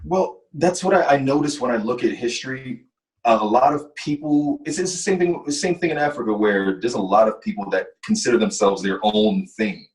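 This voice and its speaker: A man who works as a mind-body coach, a guest in a casual interview, explaining things in a relaxed way and repeating words. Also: mid-range voice, fairly quick. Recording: echoey from a distance.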